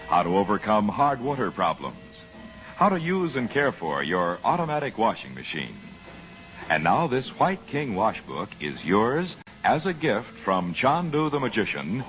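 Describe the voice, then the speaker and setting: A man's voice: lowish voice, fairly bright tone. An American man working as a radio announcer, reading a scripted advertisement in 1940s style. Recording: muffled old radio recording.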